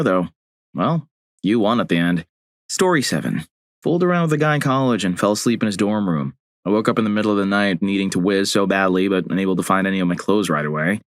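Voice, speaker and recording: A man's voice: on the low side, no room sound. Dry, wry male story narrator. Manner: wry, deadpan asides after each story. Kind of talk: reading story submissions aloud with short quips.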